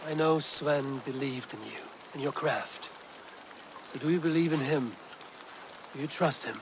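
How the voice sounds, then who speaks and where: A man playing a stern but compassionate Viking clan leader in a video game, speaking in a firm, measured, formal way. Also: on the high side, background noise. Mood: solemn, consoling. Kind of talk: video game cutscene acting.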